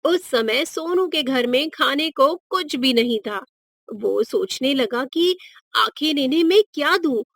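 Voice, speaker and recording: A woman's voice: high-pitched, animated, slow, fairly bright tone, no echo, studio quality. A woman narrating a scripted moral story for children.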